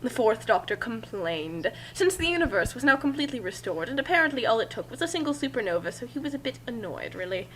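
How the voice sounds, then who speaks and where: A woman's voice: a little high, very animated, fast talker, hardly any pauses, fairly bright tone, slight background noise, poor audio. A woman reading a fan fiction story aloud as narrator, in an amateur group session with joking asides.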